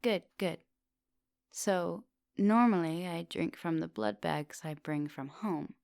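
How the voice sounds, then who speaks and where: A woman playing a coaxing, soft-dominant vampire professor in an intimate ASMR roleplay, speaking with polite, formal phrasing. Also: on the low side, takes it slowly, gravelly, dry recording, studio quality. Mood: polite, explaining. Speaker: teacher.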